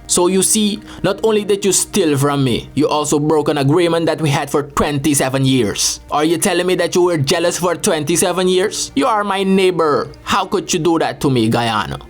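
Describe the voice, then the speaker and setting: Young guy ranting sarcastic and offended, bit high voice, soft music under it. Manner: indignant, scolding, sarcastic comic rant addressed to a rival. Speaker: comedic video creator. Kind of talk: mock love letter monologue.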